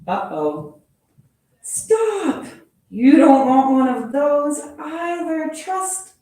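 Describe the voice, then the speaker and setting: A woman teacher reading a children's picture book aloud in a playful, expressive storytelling manner, adding little sound effects. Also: high voice, extremely slow. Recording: very echoey room from far off.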